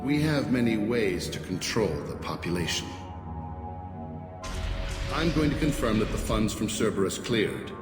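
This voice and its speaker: A cold, calculating alien prison warden from a video game. He speaks with measured authority and justifies himself firmly. His lowish voice rings through a long hall reverb over loud music. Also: sing-song. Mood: stern, controlled. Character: stern self-righteous alien prison warden, calculating and menacing.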